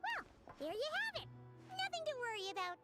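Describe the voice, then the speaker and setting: A woman voicing a tiny, cheerful, childlike fairy companion in a video game, squeaky, perky and chatty. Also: very animated, a little fast, over music. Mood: explaining.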